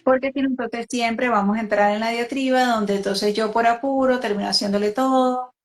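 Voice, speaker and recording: A woman born in Venezuela hosting a talk, speaking reflectively and with measured restarts about raising her children. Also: on the high side, steady tone. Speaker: host, mother. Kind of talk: conversational talk.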